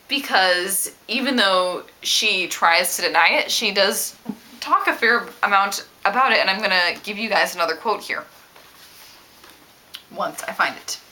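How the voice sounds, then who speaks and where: Lively, bright-voiced woman chatting about books. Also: medium pitch, low-quality recording. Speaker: book vlogger. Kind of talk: casual book discussion to camera.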